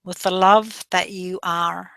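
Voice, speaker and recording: A woman leading a guided meditation in a slow, soothing voice that drifts into soft breathy passages. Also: fairly low pitch, fairly even intonation.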